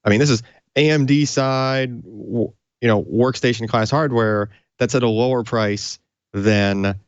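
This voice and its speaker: A man co-hosting a tech podcast, chatting casually and haltingly, repeating words and leaning on filler phrases. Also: medium pitch, no room sound, lo-fi sound. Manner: halting, repeats words, peppered with "you know". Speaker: podcast co-host.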